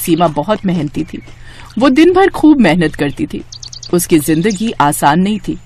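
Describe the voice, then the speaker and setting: Female narrator, scripted moral tales, animated melodic delivery. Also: on the low side, unhurried, slight background noise.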